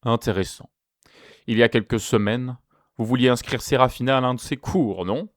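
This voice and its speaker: A man playing a stern, guarded school headmaster in an amateur webtoon dub, speaking in a measured, formal way. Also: mid-range voice, no echo, clean studio sound, close to an everyday voice. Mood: probing.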